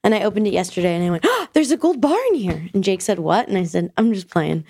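A woman's voice: mid-range voice, expressive, quick pace, a little mellow, dry recording, clean studio sound. A woman co-hosting a casual podcast, chatty and sick with a nasal voice. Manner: chatty, tells stories with acted quotes. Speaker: podcast co-host.

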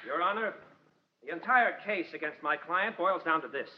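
An actor playing an earnest, idealistic defence lawyer in a 1940s radio drama, speaking in a measured, persuasive way. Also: male voice, a little high, animated, natural voice. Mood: confident, formal. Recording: muffled vintage radio recording, long reverb.

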